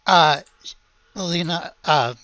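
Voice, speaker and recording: A woman's voice: sits low, slow, fairly bright tone, dry recording. A woman casually narrating a tabletop game as game master, in a hesitant, matter-of-fact way. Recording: dull, lo-fi dry audio.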